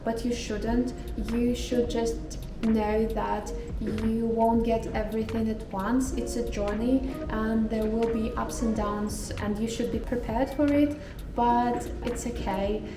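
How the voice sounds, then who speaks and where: A woman's voice: fairly high pitch, fairly even intonation, takes it slowly. Young woman in her twenties, roomy and distant. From Kazakhstan. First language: Russian. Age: 20s. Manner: thoughtful, frequent fillers and restarts. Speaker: home baker vlogger. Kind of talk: casual Q&A vlog chat. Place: home. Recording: roomy echo, mic at a distance, music behind. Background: background music.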